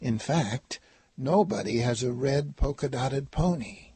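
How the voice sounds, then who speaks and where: A man's voice: mid-range voice, expressive, measured pace, dark tone, husky, no room sound. A man voicing a dreamy, earnest little girl in a children's storybook. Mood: puzzled. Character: dreamy little girl, sad then eager.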